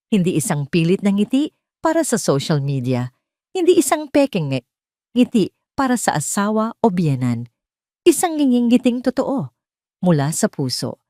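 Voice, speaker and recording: A Filipino woman delivering dramatic scripted story narration in a measured, sentimental way with deliberate phrasing. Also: fairly low pitch, a little mellow, no room sound, clean studio sound.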